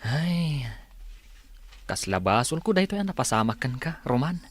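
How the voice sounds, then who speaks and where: A man's voice: mid-range voice, big pitch swings, unhurried. An actor with an Ilocano accent playing a chatty, good-natured young working man in a radio drama. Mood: earnest, sympathetic.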